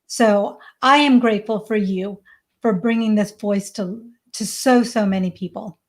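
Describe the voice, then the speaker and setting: A woman's voice: mid-range voice, unhurried. A woman in her forties whose first language is Hindi, a parenting coach talking in an earnest, emphatic way as a guest on a video-call podcast. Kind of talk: casual podcast interview.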